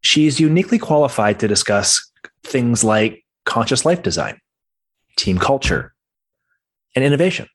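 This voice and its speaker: A man's voice: medium pitch, big pitch swings, a little slow, long pauses, breathy edge. A man hosting a podcast, reading a scripted introduction and then chatting with his guest. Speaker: podcast host. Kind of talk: podcast interview.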